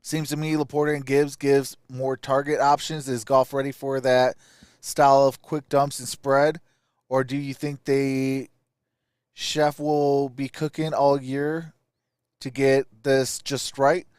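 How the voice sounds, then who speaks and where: A man's voice: mid-range voice, little pitch movement, slow pace, a little mellow, smooth, no echo, studio quality. A man hosting a live sports stream, giving casual, opinionated commentary and answering viewer comments.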